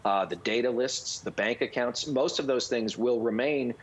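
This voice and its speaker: A man giving earnest political analysis in a live news interview, measured and thoughtful, with frequent fillers and restarts. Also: mid-range voice, husky, low-quality recording. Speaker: political commentator.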